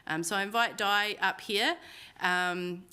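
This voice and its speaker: A woman's voice: medium pitch, unhurried, bright, forceful tone, smooth. A woman hosting an event, introducing the next facilitator from a bio with ums and small slips. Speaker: event host introducing a speaker. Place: university meeting room.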